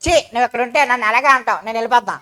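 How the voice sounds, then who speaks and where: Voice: medium pitch, racing, pushed and bright, close to an everyday voice. A performer voicing a spoiled, impatient young son in a children's puppet show, whining and insisting on what is wanted. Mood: defensive. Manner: whiny, insistent, repetitive demanding.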